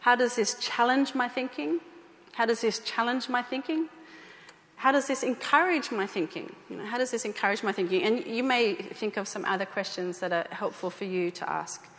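A woman's voice: fairly high pitch, normal speed, a bit bright. A woman giving an informal talk to a church group, speaking conversationally with fillers and repeated phrases. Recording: echoey hall.

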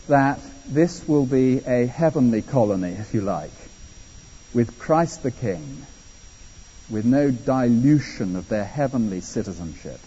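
An English man preaching a sermon, animated and conversational, with personal asides. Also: mid-range voice, husky. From England. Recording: dull echoey hall.

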